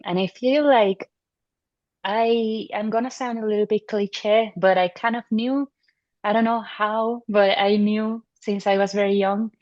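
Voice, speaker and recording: A woman in her thirties from Venezuela, a neurology resident, answering interview questions in a relaxed, thoughtful way with fillers and restarts. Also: medium pitch, no room sound. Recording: muffled dry audio.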